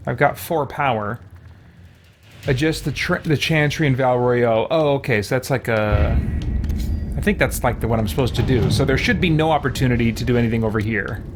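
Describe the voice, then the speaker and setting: A man gives casual gameplay commentary as a let's play host. He is conversational and thinks aloud at a middling pitch, with faint noise behind him. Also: normal speed.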